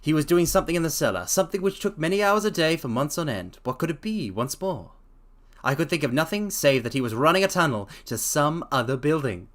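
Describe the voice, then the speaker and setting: A man's voice: on the high side, lively intonation, fairly quick, a bit bright. A man voicing a brilliant, languid detective explaining his deductions in a theatrical storybook reading. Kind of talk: dramatic reading.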